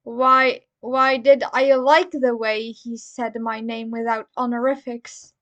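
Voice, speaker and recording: A woman's voice: a little high, flat delivery, slow pace, fairly bright tone. A woman reading a story aloud in the first person, as dramatic narration. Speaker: fan fiction reader. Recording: dull lo-fi recording.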